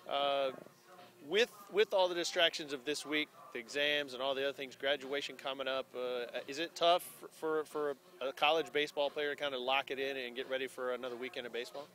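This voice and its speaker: Male interviewer, conversational and rambling, bright tone, recorded outdoors on a phone. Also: mid-range voice, fairly even intonation, fairly quick. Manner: conversational, rambling questions with restarts. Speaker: postgame interviewer. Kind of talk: postgame interview. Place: outdoors beside a baseball field.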